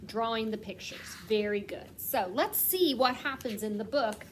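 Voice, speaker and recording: A woman reading a picture book aloud like a kindergarten teacher, stressing words expressively and putting questions to the children. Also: fairly high pitch. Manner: asks questions and pauses as if children answer; expressive storytelling with slowed, emphasised words. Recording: clear background noise.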